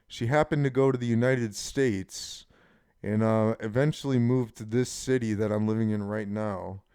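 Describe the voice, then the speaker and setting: A man in his twenties with a deep voice, rambling frankly and casually in a personal monologue, swearing now and then. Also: fairly even intonation, moderate pace, dark tone, clear, resonant tone, no room sound, clean studio sound. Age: 20s. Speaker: channel host.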